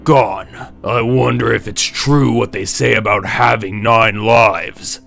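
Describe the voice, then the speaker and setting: Male actor as brooding masked vigilante, stern, terse, gravelly creak, slow delivery, comic dub, music behind. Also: on the low side, fairly even intonation, fairly bright tone, exaggerated voice. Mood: grim, brooding. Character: grim brooding masked vigilante, adult man.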